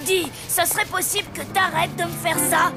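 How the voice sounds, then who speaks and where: A woman's voice: extremely high pitch, steady tone, normal speed. A woman voicing an awkward young zombie boy in a cartoon dub, with a hesitant, slangy delivery. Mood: bashful. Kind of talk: casual cartoon dub acting. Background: loud music.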